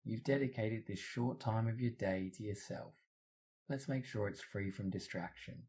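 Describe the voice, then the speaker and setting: A man's voice: on the low side, moderate pace, a little mellow. A man narrating a scripted guided meditation in a soothing, instructional manner.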